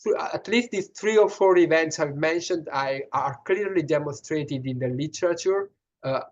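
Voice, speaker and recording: A man's voice: on the high side, moderate pace, highs cut off. A man with a strong Italian accent, a pediatrician answering questions in an online session, explaining earnestly with many restarts and fillers. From Italy.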